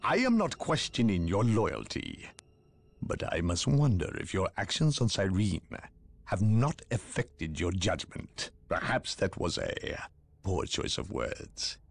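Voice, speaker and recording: An icy inquisitor, low gravelly voice, clipped and condescending, music behind. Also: male voice, big pitch swings, slow pace, full character voice. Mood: cold. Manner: clipped, cutting, condescending politeness. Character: cold, arrogant male inquisitor, condescending official. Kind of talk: video game cutscene acting.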